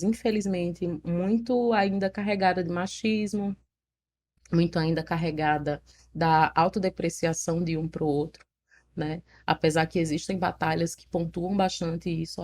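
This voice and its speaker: A young woman with a Northeastern Brazilian accent, telling her story in a casual interview in an animated, frank way, laughing at herself. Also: lowish voice. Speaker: poet, interview guest.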